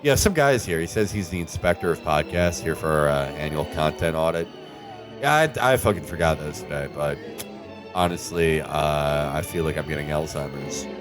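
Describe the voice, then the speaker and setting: Man playing grumbling gamer, very low pitch, blunt, slangy, rambling, radio play parody. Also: animated, moderate pace, over music. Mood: distracted. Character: grumbling, distracted, foul-mouthed video gamer.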